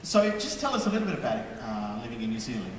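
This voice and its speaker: Lively male host in a noisy echoing hall. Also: medium pitch, very fast. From Australia. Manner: lively, jokey, announcer-like. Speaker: panel host. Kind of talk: hosting a convention panel. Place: large convention hall. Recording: echoey hall, crowd, from far off.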